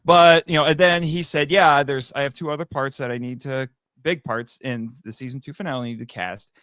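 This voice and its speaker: A man speaking as a podcast guest and amateur voice actor, telling stories casually in an animated, self-amused way. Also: medium pitch, a little fast, clear, resonant tone, no room sound. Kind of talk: casual interview storytelling.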